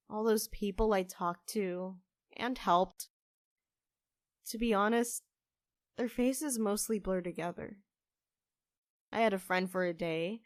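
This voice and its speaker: A woman's voice: medium pitch, dry recording, clean studio sound, natural voice. A woman playing an earnest, hopeful young witch who runs a cafe, in a conversational audio drama style. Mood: earnest, wistful.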